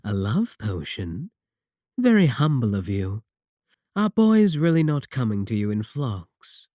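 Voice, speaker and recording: A man playing a courteous, manipulative warlock shopkeeper in a roleplay audio, coaxing and flattering his guest with polite, unhurried talk. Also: mid-range voice, no room sound, clean studio sound. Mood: flirtatious, coaxing. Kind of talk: second-person roleplay audio acting.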